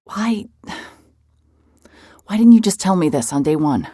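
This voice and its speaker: A woman's voice: lowish voice, very animated, unhurried, dark tone, slightly rough, no echo, studio quality, heavily acted. An actress playing a hard-hearted, guarded female private investigator, sardonic but shaken, in a mystery horror audio drama. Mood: wry, annoyed.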